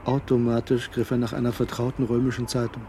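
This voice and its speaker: A man narrating a radio drama in a measured, suspenseful, scripted delivery. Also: mid-range voice, level tone, noisy background.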